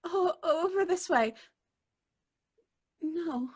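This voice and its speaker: A woman's voice: very high-pitched, very slow, full character voice. An actress playing a frightened, hysterical young woman in an old-time radio drama, pleading and stammering in panic. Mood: anxious.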